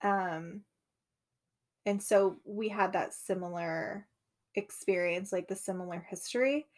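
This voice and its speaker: A woman's voice: mid-range voice, takes it slowly, a little mellow. A young woman co-hosting a casual conversation, talking freely with frequent "like" fillers. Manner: chatty, lots of "like" fillers. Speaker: podcast co-host.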